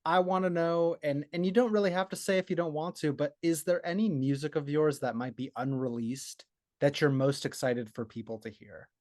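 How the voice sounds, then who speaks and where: A man's voice: a little high, fairly even intonation, normal speed, a little mellow, no room sound, clean studio sound. A man interviewing a musician over a video call, chatty and enthusiastic, often laughing. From United States. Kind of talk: casual interview chat.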